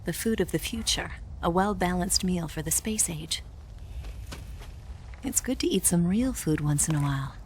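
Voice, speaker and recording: A woman's voice: lowish voice, expressive, moderate pace, a little hiss or hum, natural voice. An actress playing a cool, confident, flirtatious female spy in a video game cutscene, with a sultry, teasing, composed delivery. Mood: businesslike.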